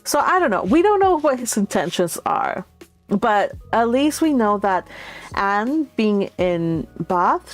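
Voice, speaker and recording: Woman read-along host over background music. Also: medium pitch. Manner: informal, chatty asides addressed to listeners. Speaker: read-along host reading a classic novel and commenting. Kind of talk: storybook narration and casual livestream chat.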